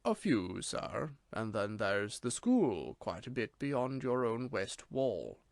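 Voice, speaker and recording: A solemn, deferential manservant with a slight Irish lilt, as a man reads him in a storybook. He speaks quietly and gravely, always formal. The recording is dry and close. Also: medium pitch, very animated, takes it slowly, no echo, exaggerated voice.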